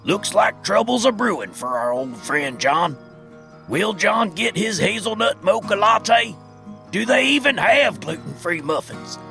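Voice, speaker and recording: A folksy, wry American western storyteller played by a man, high in pitch and animated, narrates a comic audio drama over plucked guitar music. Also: measured pace, creaky, heavily acted. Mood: dramatic.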